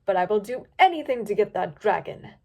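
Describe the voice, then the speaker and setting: A woman's voice: mid-range voice, lively intonation, fairly quick, gravelly, darkish tone. A woman voicing a stern, haughty female pony sorceress who is easily exasperated. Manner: imperious, exasperated, clipped.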